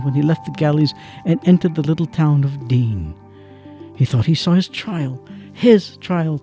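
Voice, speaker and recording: An actor as a solemn storyteller, giving dramatic literary narration in an audio drama with deliberate phrasing. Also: male voice, on the high side, warm tone. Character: solemn male storyteller of a literary radio play.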